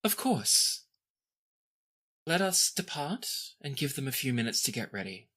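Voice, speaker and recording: Man playing a gracious, regal princess, crisply bright. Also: medium pitch, big pitch swings, full character voice. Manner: stately, measured royal diction.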